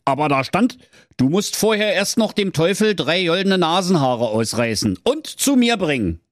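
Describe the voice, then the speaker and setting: A gloating fairy-tale king, sneering and spiteful. He speaks in a high, put-on storybook voice, close and dry. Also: big pitch swings, moderate pace, no room sound. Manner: sneering, mocking.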